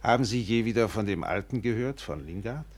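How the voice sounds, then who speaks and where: An actor playing a worldly, blunt steamship captain who also serves as storyteller in a German radio play. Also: male voice, lowish voice, animated, a little fast. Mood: doubtful. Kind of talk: German radio play, dialogue and literary narration.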